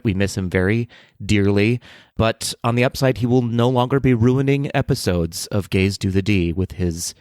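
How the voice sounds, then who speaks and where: A man with a fairly low voice co-hosts a podcast in an easygoing way, laughing often. He is recorded close and dry on a studio mic. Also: a little mellow, no echo. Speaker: podcast co-host. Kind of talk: casual chat. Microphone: headphones and studio mic.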